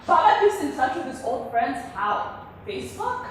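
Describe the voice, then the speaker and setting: A woman's voice: sits high, big pitch swings, moderate pace. An indignant, dramatic adult daughter in a stage play. Her voice swings widely and emphatically in sarcastic exasperation. It is very echoey and heard from a distance in a theatre. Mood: scornful. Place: indoor theatre stage, recorded from far off. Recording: echoey theatre, distant mic, faint noise.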